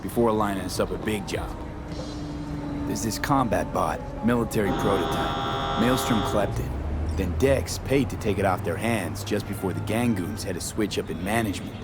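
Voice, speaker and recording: A level-headed young mercenary, played by a man talks in short, blunt lines with a lowish voice. Game dialogue sits over loud music. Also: moderate pace, lightly acted. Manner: terse, matter-of-fact questions. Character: young male street mercenary, direct and cool. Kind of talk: video game voice acting.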